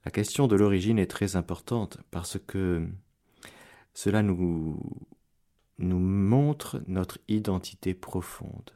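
Male priest, radio sermon: slow, measured delivery, mellow tone, bursts of fervor then quiet prayer. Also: low-pitched. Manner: preacherly, swings between loud exclamations and hushed prayer. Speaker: priest giving catechesis on radio. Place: radio studio.

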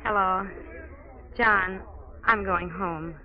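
An American actress playing a naive, devoted young stage hopeful in a 1940s radio drama. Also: female voice, medium pitch, steady tone, very slow. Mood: wistful, hesitant. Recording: dull 1940s radio recording, faint music.

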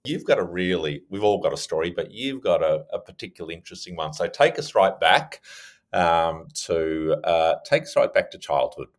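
Man, low voice, interview host, conversational, effusive, rambling questions. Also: lively intonation, normal speed.

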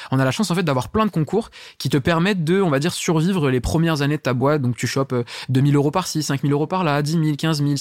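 A man's voice: medium pitch, dry recording, clean studio sound. A young man from France, a startup founder talking in a casual interview, enthusiastic and slangy with plenty of fillers.

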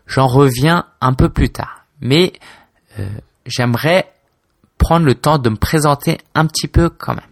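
A man's voice: medium pitch, measured pace, no echo, clean studio sound. A man in his twenties from France, a blogger talking casually and informally to his listener, hesitant, with many fillers and restarts. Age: 20s. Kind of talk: casual solo talk to camera.

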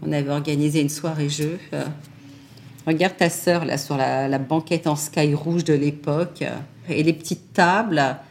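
A woman's voice: deep voice, steady tone, moderate pace, a little mellow, slight background noise. A middle-aged woman reminiscing casually, repeating her words as she searches for them.

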